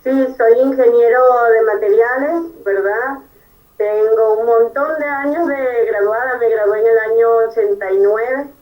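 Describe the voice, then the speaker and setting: A woman's voice: a little high, unhurried, darkish tone, far from the mic, rough recording. A Venezuelan woman in her fifties, an engineer answering interview questions in earnest detail, often checking in with "¿verdad?". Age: 50s. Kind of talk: conversational interview.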